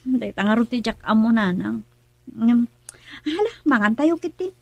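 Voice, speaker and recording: An actress playing a troubled young married daughter in a radio drama, speaking softly and pleadingly. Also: female voice, on the high side, animated, moderate pace, vocal fry, no room sound. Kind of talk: Ilocano radio drama acting.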